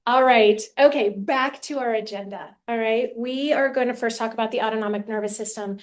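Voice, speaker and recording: Woman speaker, fairly high soft voice, friendly lecture to a room, handheld mic. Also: a little fast. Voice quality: soft quiet voice. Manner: apologetic and friendly, laughs often. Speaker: educational speaker. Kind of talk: live lecture to a seated audience. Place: meeting room with audience. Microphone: handheld mic after a lapel clip would not stay.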